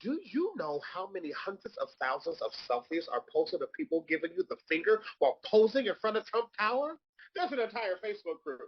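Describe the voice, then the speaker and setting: Casting: male actor as sassy, gossipy drag queen, theatrical drawn-out lines, lively swoops, slightly raspy, dull recording. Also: sits high, full character voice. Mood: playful, gleeful. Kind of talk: comedic live table read. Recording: dull lo-fi audio.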